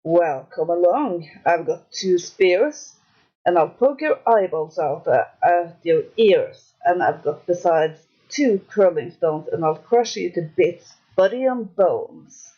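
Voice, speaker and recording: Woman doing a slow, hoarse big billy goat. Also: lowish voice, animated, lo-fi sound. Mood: defiant. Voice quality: hoarse, ugly voice. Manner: slow, drawn-out boasting. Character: big heavy male billy goat, defiant and fierce.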